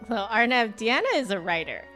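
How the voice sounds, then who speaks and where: A woman's voice: on the high side, sing-song, fairly quick, sharp tone. A woman giving casual live game commentary as a streamer, chatty and rambling. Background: game music.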